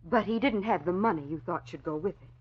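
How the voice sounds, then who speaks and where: An actress playing a self-willed, rebellious eighteen-year-old daughter in a 1940s radio drama, with a sharp, defiant delivery. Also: female voice, fairly low pitch, fast, slightly rough. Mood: defiant, bitter. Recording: dull, lo-fi old radio recording.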